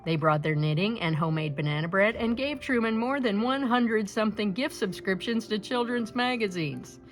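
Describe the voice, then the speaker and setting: A woman reading a children's picture book aloud with expressive, deliberate phrasing. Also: mid-range voice, faint music behind. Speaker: storybook reader.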